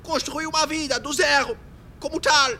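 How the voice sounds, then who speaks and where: A man's voice: very high-pitched, steady tone, moderate pace, sharp tone, slight background noise, full character voice. A man playing a pompous, chummy factory director in a comedy sketch, grandiose and over-friendly. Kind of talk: exaggerated comedy sketch acting.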